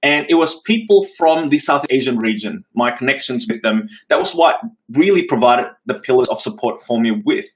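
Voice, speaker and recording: A man's voice: medium pitch, lively intonation, normal speed, hardly any pauses. Male academic, Australian accent, grew up in Singapore; mid-range voice, reflective interview answers full of restarts. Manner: thoughtful, frequent restarts and fillers. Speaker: associate dean, interviewee.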